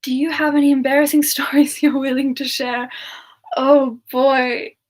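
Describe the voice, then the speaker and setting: A woman playing a playful, affectionate and coy female demon siren, speaking softly and hesitantly in a semi-ASMR question-and-answer style. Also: high voice, flat delivery, normal speed, natural voice. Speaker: host answering audience questions in character.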